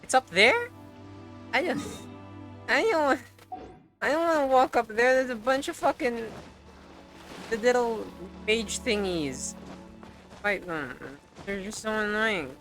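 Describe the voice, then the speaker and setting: A woman game streamer giving casual, expressive live commentary, joking and swearing as she plays. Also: on the high side, a little slow, pauses often, soft background music.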